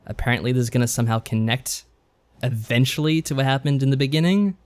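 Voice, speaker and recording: A man's voice: medium pitch, fast talker, smooth. A man co-hosting a podcast, talking through his review in a casual, conversational style. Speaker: podcast co-host.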